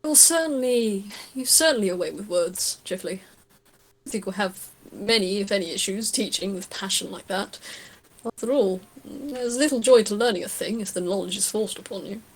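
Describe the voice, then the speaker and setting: A patient, musing man played by a woman in a tabletop roleplay. The voice sits at a middle pitch and moves deliberately through each thought, with a kindly, playful temper. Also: breathy edge, poor audio. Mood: encouraging.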